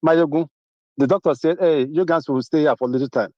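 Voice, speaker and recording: A middle-aged Nigerian man calls in on a dull, muffled, dry phone line. His voice sits fairly high, and he presses his points by saying them over and over. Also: fairly quick, no echo. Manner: emphatic, repeats key phrases. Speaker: caller. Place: Atlanta, abroad.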